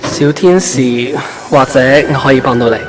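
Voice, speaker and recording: A man's voice: mid-range voice, normal speed, lightly acted. A man playing a kindly, easygoing ancient saint of love in a Hong Kong Cantonese radio drama. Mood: kind, probing. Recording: background music under the voice.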